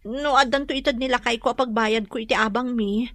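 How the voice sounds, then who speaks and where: A woman's voice: fairly high pitch, fairly even intonation, brisk, a bit bright, no echo, studio quality. A woman playing a worried, apologetic mother in a radio drama, pleading with a Filipino accent. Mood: troubled. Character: worried, pleading mother behind on boarding house rent.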